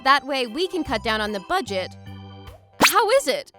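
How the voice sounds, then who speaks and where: Woman voicing a high, animated young office worker. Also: normal speed, loud music behind the voice. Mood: thoughtful, determined. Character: eager hot-blooded young female office worker, easily exasperated. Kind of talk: animated manga voice acting and narration.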